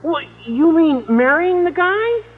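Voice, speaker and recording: An actor playing an overeager, earnest American high school boy with a cracking adolescent voice in a radio comedy. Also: big pitch swings, slow, heavily acted. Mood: earnest, gushing. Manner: gushing, exaggeratedly earnest. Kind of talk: old-time radio situation comedy acting. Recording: tinny vintage radio recording, noise, studio audience.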